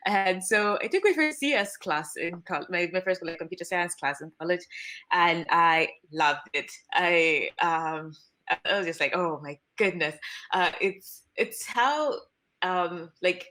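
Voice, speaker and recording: A woman's voice: on the low side, unhurried, sharp tone. A woman in her twenties from Zambia, telling her story on a video call, chatty with lots of likes and ums. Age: 20s. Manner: chatty, many fillers of "like" and "um", laughs easily. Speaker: guest. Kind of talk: casual interview storytelling.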